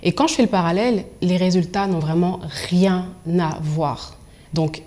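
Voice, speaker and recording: A young woman from Angola and Congo, speaking as a professional coach in an interview, articulate and expressive as she tells her own story. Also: mid-range voice. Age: young adult.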